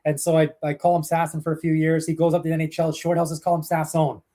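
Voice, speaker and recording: A young man with a Canadian accent talks as an interview guest, rambling through behind-the-scenes stories. His voice sits a bit high for a man. He fills pauses with ums and uhs and restarts his sentences often. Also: completely flat delivery, warm tone. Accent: Canadian English. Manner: chatty storyteller, many fillers and restarts. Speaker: hockey play-by-play broadcaster, guest. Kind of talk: casual interview answers.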